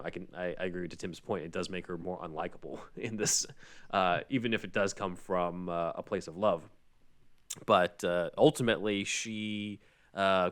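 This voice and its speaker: A man hosting a podcast, explaining and recapping in a casual way with frequent asides. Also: low voice. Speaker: podcast host.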